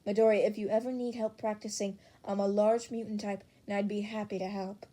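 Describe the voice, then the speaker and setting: A woman's voice: mid-range voice, flat delivery, a little fast, mellow, close to an everyday voice. A woman voicing a big, friendly, helpful teenage hero student in an audiobook. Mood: friendly, offering.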